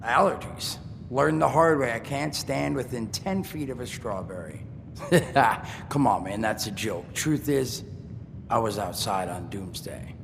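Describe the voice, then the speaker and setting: An actor playing a wry, joking survivor bartender with a gravelly voice. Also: male voice, medium pitch, lively intonation, measured pace. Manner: wry, joking, laid-back. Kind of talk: video game dialogue. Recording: long reverb with clear noise.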